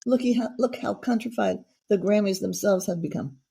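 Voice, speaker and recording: A woman's voice: mid-range voice, brisk, vocal fry, warm tone, slightly rough. A middle-aged woman hosting a casual interview, chatty and effusive, rambling from one thought to the next. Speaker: podcast host.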